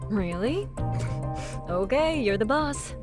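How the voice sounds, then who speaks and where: An actress playing a composed, gently teasing former girlfriend in a video game drama, with understated delivery. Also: fairly high pitch, animated, slow pace, music in the background. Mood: lightly teasing.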